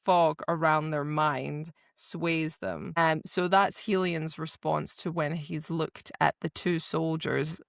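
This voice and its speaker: A woman's voice: low-pitched, fairly even intonation, slow, creaky, hardly any pauses, dry recording, studio quality. A woman co-hosting a podcast, casually recapping a book and acting out the quotes as she retells it. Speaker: podcast co-host.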